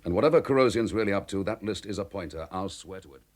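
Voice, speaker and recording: Low-voiced, wry police inspector. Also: male voice, expressive, quick pace, darkish tone. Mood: firm, determined. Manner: wry, clipped. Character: dry, confident male police chief inspector. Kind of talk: radio drama acting.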